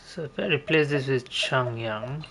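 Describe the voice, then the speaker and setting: A man's voice: mid-range voice, a little slow, gravelly, fairly bright tone, slight background noise. A man giving casual gameplay commentary, thinking aloud in a hesitant mutter. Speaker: game streamer. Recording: game music behind the voice. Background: video game music.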